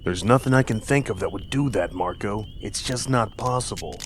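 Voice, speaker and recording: A man's voice: fairly low pitch, moderate pace, a little hiss or hum, close to an everyday voice. A man voicing a bewildered, agitated male logger in a horror audio story. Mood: troubled, brooding.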